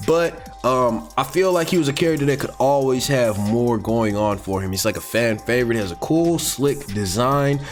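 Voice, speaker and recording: An American man giving casual, unscripted fan commentary in a slangy, rambling, excitable way, like an online video creator. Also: a little high. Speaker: YouTube commentator on comics and animation. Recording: music playing behind the voice. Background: background music.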